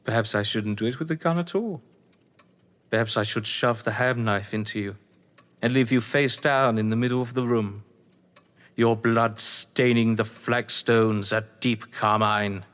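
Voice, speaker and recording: An actor playing a cold, gloating crime novelist and betrayed husband in an audio drama, speaking with eloquent, taunting menace. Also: male voice, medium pitch, measured pace, fairly bright tone, close to an everyday voice. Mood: gloating relish. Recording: reverberant hall-like room.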